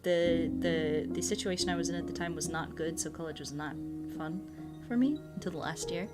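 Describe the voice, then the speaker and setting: Lower-pitched young woman chatting. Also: fairly quick. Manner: conversational, musing, answers viewer comments. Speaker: livestream host. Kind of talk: casual livestream chat with viewers. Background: background music.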